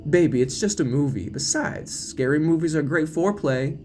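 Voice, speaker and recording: A man's voice: on the high side, lively intonation, moderate pace, a little mellow, slight background noise, voice pushed up, close to an everyday voice. A man voicing a teasing young boyfriend in an audio drama, casual and coaxing, with music behind him. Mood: coaxing, playful. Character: laughing, teasing young boyfriend, a prankster moviegoer. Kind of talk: audio drama character reading.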